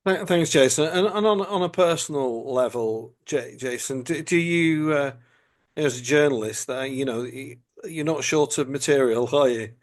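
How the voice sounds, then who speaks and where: A British man hosting a podcast interview, asking long, halting questions full of stammers and fillers. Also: mid-range voice. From United Kingdom. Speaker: podcast host.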